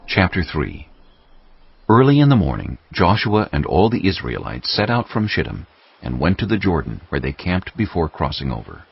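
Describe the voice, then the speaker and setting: A man narrating scripture from a script in a measured, dramatic storytelling manner. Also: low-pitched, huge pitch swings, vocal fry, breathy edge. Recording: slightly dull audio over music. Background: music.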